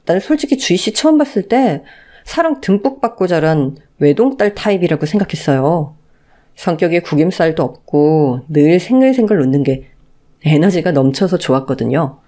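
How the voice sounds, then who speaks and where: A woman voicing a gentle, polite, earnest man in his thirties in a radio story drama. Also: on the low side, expressive, normal speed, lightly acted. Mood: tender, earnest. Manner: soft-spoken, polite, earnest.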